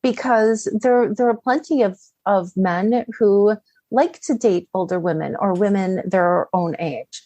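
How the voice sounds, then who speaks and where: A woman's voice: mid-range voice, warm tone, dry recording. A middle-aged woman giving conversational coaching advice as an interview guest, often posing questions and acting out inner dialogue.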